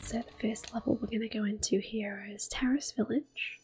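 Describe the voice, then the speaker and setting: A woman speaking in a hushed, unhurried, gentle whisper as she gives ASMR game commentary. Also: medium pitch, fairly even intonation, soft background music. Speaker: host of an ASMR gameplay series. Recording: clicking controller noise. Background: controller clicks.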